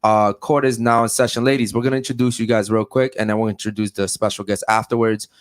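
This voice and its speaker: A man hosting a podcast panel in a casual, laid-back way, often saying "man" and giving shout-outs. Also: on the low side, little pitch movement, fast, no echo, clean studio sound. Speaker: podcast host.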